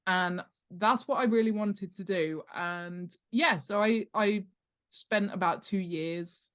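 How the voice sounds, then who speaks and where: A woman's voice: mid-range voice, slow, a bit bright. A woman chatting casually as an interview guest, talking in a humorous, self-deprecating way about herself and her work. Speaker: guest author and world-building expert.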